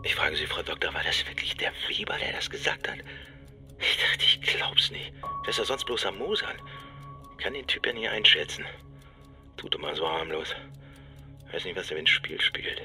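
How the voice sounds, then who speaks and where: Radio drama: shaken, bitter cop, very deep, swinging intonation, halting half-whispered confession, music underneath. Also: male voice, sing-song, full character voice. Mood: suspicious, bitter. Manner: halting, fragmented, often breathy half-whisper. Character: shaken, bitter male police officer recounting trauma to his doctor. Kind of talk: intimate radio drama monologue.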